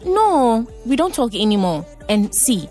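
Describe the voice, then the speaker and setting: A shrewd young Ghanaian woman talks on a phone call in an audio drama. Her pitch moves around a lot as she bargains and insists, with some music underneath. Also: big pitch swings, measured pace, a little mellow. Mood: suspicious, insistent.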